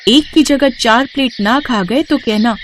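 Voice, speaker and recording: A woman's voice: a little slow, a little hiss or hum. A woman voicing a cheerful, confident young daughter selling food with a persuasive manner in a story. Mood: eager selling. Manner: bright, persuasive, high-voiced.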